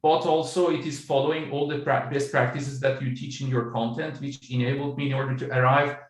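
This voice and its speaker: A middle-aged man from Iran, an author and business coach, answering interview questions over a video call in a measured, explanatory way with many fillers. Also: mid-range voice, flat delivery, reverberant, distant mic.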